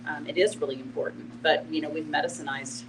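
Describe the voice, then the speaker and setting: A woman explaining things informally in a panel discussion, often pausing to add "you know". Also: deep voice, steady tone. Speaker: panelist on end-of-life care. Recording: noisy live room with hum.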